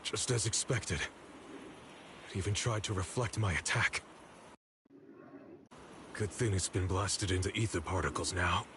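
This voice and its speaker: A man's voice: low voice, unhurried, long pauses, noisy background. A man playing an intense, protective young warrior in a video game, grim and defiant in his delivery. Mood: strained. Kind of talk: dramatic video game voice acting. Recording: loud game music behind. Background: music.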